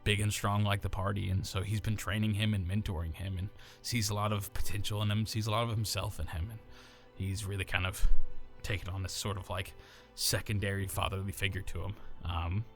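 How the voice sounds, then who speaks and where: A very low-pitched man from the American South, speaking with a neutral accent and a natural gravel. He explains a voice build in a loose, rambling way, with plenty of fillers and restarts. Faint music sits underneath. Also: flat delivery, a little fast, hardly any pauses. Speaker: voice actor. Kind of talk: explaining how he builds a character voice.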